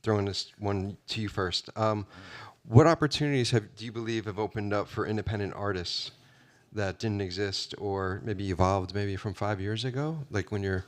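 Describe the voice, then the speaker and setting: Man, moderator, casual, affirming, low voice. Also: moderate pace, few pauses. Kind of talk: panel discussion.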